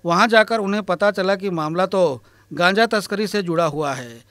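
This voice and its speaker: A male reporter reading a scripted news report in a formal, even manner. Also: sits high, normal speed, no echo. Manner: formal, even read delivery.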